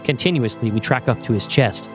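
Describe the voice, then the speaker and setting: A man narrating a scripted screenplay in the present tense, with measured, descriptive storytelling. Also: mid-range voice, over music. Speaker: narrator and host.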